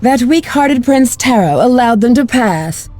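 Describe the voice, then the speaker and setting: A woman's voice: a little high, lively intonation, a little slow, dark tone, faint music behind. A woman playing a scornful, sly serpent clan villain in a video game cutscene.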